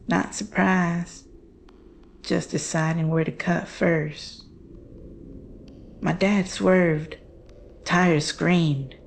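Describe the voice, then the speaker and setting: A woman playing a haunted daughter recounting a horror story in hushed, halting, measured phrases. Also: lowish voice, creaky, a little hiss or hum. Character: Jane, haunted woman recounting her family's monster encounter. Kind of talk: slow scripted horror storytelling.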